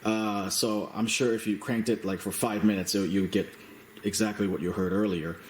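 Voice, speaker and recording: A man with a fairly low voice talks casually through a product demonstration. His delivery is halting and matter-of-fact. The room adds clear echo, and there is faint noise behind him. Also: fairly even intonation. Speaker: product reviewer. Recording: roomy echo, slight background noise.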